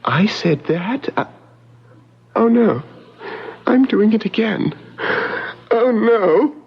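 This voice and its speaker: A man playing a troubled, fragile young gentleman of twenty, earnest and hesitant, in old-time radio drama style. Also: sits high, animated, takes it slowly, exaggerated voice. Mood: distressed. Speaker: actor in a radio mystery drama. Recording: muffled vintage radio recording with music.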